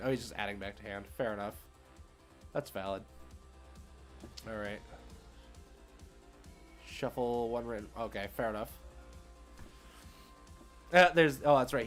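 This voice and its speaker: A man's voice: mid-range voice, moderate pace, pauses often, fairly bright tone, soft background music. A man hosting a livestream and giving casual, slangy commentary on a card game as he thinks aloud.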